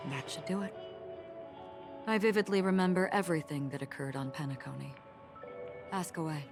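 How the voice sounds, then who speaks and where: Mysterious swordswoman from a game, low calm voice, grave and philosophical, kinda sad, music behind. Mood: quiet, reflective. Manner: measured, grave, philosophical delivery. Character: solemn, enigmatic female swordswoman wanderer, calm and melancholic. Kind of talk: scripted game voice acting.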